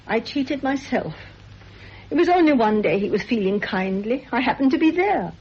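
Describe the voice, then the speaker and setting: Shy apologetic teacher lady, breathy, lively pitch, muffled old radio sound. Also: moderate pace. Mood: resigned. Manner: gentle, apologetic, hesitant. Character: meek, self-effacing spinster teacher. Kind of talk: radio drama acting. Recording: dull old radio recording.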